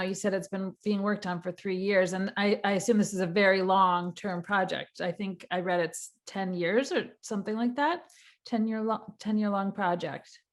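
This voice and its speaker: Woman host, mid-range voice, friendly chatty interviewer. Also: medium pitch. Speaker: podcast host. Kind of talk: interview hosting.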